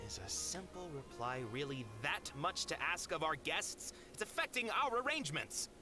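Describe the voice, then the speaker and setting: A man's voice: on the high side, sing-song, measured pace. A man playing a fussy, proud theater manager in an anime video game, huffy, formal and theatrical in delivery. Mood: annoyed. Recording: game music underneath.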